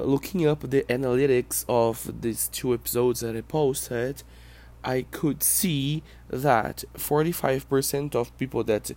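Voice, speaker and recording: Brazilian man, non-native English, unhurried and halting, solo podcast musings. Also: medium pitch, darkish tone. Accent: Brazilian Portuguese. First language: Portuguese. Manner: reflective, hesitant, halting. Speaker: podcast host. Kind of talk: personal monologue podcast.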